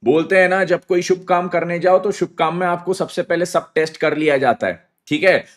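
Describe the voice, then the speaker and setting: An accounting teacher with a hoarse, sore throat, hyping his students in a live online class and mixing English into his Hindi. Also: sits high, steady tone, a little fast. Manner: hypes students with slang, mixes English into Hindi. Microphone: phone on mobile data, live stream.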